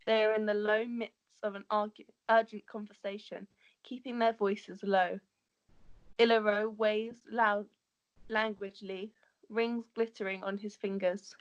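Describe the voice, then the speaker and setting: A woman's voice: mid-range voice, flat delivery, a little slow, smooth. Slightly muffled woman reading script narration. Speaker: narrator reading stage directions. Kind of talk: scripted narration at amateur table read.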